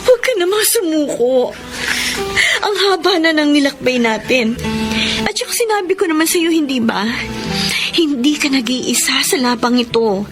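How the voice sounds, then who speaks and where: A steadfast wife fighting to keep her ailing husband's hope alive. Her voice sits high and carries earnest, emotional pleading. Loud dramatic music runs underneath. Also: lively intonation, moderate pace, long pauses. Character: devoted wife of a sick man, adult, steadfast. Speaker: radio drama actress. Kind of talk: Tagalog radio drama acting.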